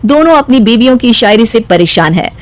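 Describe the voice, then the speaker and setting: Slightly high-voiced woman narrating a story. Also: lively intonation, brisk.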